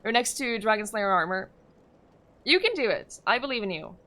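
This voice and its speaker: Bright-voiced young Norwegian woman streamer. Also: medium pitch, lively intonation, moderate pace. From Norway. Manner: chatty, reads and answers chat, frequent fillers and laughs. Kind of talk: casual live-stream chat while gaming.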